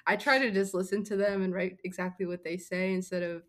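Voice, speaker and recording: A woman's voice: on the low side, flat delivery, fairly quick, a bit bright. A woman chatting casually as a member of a podcast team. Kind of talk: casual chat.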